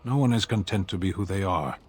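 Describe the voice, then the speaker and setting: Deep-voiced stoic warrior man. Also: breathy edge, soft background music. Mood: dry, dismissive. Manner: deadpan, terse, deliberate, matter-of-fact. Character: stoic, blunt male warrior, stern and philosophical. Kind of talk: scripted fantasy game dialogue.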